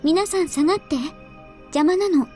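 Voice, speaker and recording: A woman voicing a soft-spoken, polite young girl in a Japanese visual novel. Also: extremely high pitch, quick pace. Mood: flat, polite. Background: game background music.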